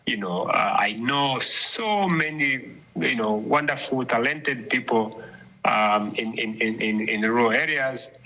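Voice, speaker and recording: A man talking down a phone line, his voice husky yet strikingly bright and forceful. He sounds earnest and reflective, pausing often and restarting his sentences as he goes. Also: mid-range voice. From Zambia. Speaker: guest commentator. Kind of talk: live phone interview.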